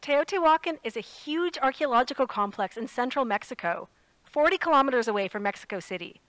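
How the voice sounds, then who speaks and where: Actress as spirited female correspondent, higher voice. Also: lively intonation, a little fast, fairly bright tone, no room sound. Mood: awed, descriptive. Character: spirited woman radio correspondent, playful and earnest. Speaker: radio correspondent. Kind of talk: audio drama, phone-in report.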